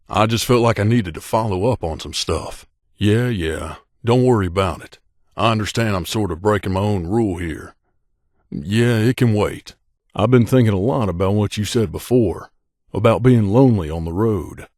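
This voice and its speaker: A man playing a grizzled, guarded veteran mercenary in a video game, with a gruff, curt, world-weary manner. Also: lowish voice, moderate pace, darkish tone, no room sound, clean studio sound, lightly acted. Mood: hesitant, reflective. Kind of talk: video game companion dialogue.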